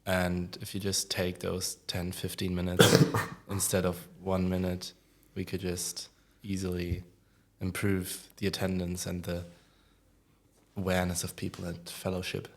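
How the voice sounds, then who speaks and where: Low, flat-voiced young man. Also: a little slow, gravelly, a little hiss or hum. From Germany. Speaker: podcast guest. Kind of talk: casual discussion.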